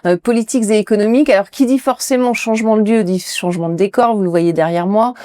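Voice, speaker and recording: A woman hosting a TV talk show, chatting with fillers as she leads the interview. Her voice is mellow and mid-pitched. The recording is dry and close. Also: quick pace, no room sound. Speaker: TV host. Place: restaurant in Paris.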